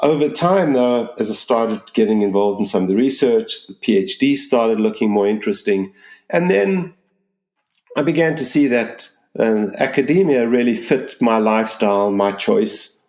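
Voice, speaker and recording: A man in his sixties from Zimbabwe with a mixed Southern African accent, answering interview questions in a measured, explanatory way. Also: mid-range voice, expressive, a little mellow, echoey room. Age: 60s. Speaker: business school dean, interview guest.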